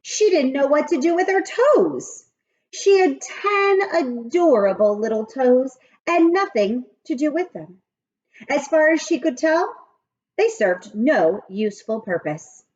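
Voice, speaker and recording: A woman narrates a children's story in a high, very animated voice. She phrases each bit deliberately and emphatically. The recording is a bit dull. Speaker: read-aloud storyteller.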